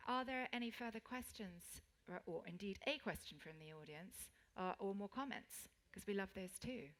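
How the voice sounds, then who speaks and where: A woman's voice: mid-range voice, normal speed, a bit bright. Gracious woman moderating a panel. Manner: gracious, inviting.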